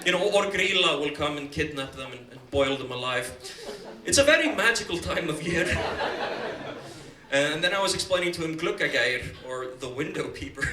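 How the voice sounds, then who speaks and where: A young man doing a stand-up set at a comedy bar, chatty and swearing, with quick asides. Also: on the high side, lively intonation, a crowd in the background. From Iceland, raised in the United States. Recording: echoey club from far off, audience.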